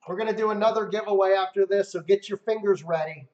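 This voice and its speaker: A man's voice: sits high, fast talker, darkish tone. A man hosting a casual livestream, talking upbeat to his viewers. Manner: upbeat, talks to viewers, gives away cars. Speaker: livestream host. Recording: roomy, dull lo-fi sound.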